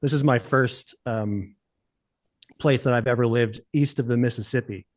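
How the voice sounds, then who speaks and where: A man in his forties from the United States, chatting as a podcast guest in a relaxed, rambling way, with many fillers. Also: medium pitch, normal speed. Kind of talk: casual interview chat.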